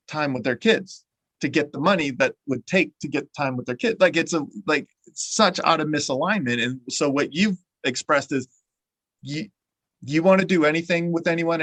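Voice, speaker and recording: A man's voice: fairly high pitch, moderate pace, fairly bright tone, no room sound, poor audio. Rambling podcast host man, dry sound. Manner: rambling and repeats his words, many "uh" restarts. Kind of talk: conversational interview.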